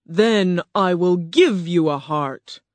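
A narrator voicing a huge, terrifying wizard who speaks slowly and loudly, with a higher, distant-sounding voice. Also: dry recording, lightly acted. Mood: booming. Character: booming giant wizard head, terrifying.

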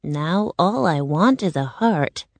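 A woman voicing a gentle, wistful tin man in a storybook, with measured delivery. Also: on the low side, animated, dry recording, full character voice. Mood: wistful, hopeful.

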